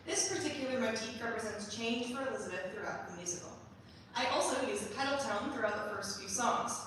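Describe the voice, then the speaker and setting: A young adult woman giving a prepared, measured introduction as a student composer presenting her staged reading. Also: a little high, a bit bright. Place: large performance hall. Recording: very echoey hall, distant mic, faint noise.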